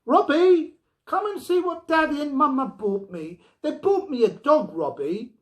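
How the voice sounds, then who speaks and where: A man voicing an excitable, tearful little girl from a storybook, pleading and shrill. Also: a little slow. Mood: excited. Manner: childlike, pleading, fierce when upset. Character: tearful, excitable little girl who adores her robot. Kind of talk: storybook character reading.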